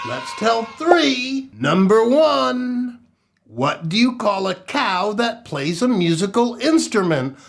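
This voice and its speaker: A man hosting a children's storytime show in a playful, theatrical, exaggerated way, with sing-song exclamations and pauses. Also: extremely high pitch, big pitch swings, gravelly. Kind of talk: playful children's show presenting with dad jokes.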